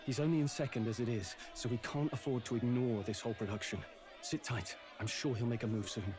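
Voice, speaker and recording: An actor playing a shrewd, steady male companion in a fantasy game, with a wry, reassuring manner. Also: mid-range voice, a little fast, music in the background, natural voice.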